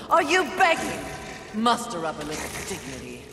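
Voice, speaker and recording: A woman's voice: low voice, huge pitch swings, unhurried, full character voice. A woman playing a proud, fierce, scornful supernatural warrior in a fantasy game, speaking in a commanding, sardonic way. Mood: scornful resolve. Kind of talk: cinematic fantasy game acting. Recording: noisy, echoey reverberant hall.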